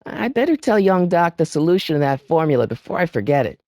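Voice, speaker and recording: An excitable american teen boy, wisecracking game hero, voice jumps all over, super dry sound. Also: a little high, fast talker, no room sound. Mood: determined, wry. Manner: slangy, youthful, exclamatory. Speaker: video game protagonist. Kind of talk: animated video game voice lines.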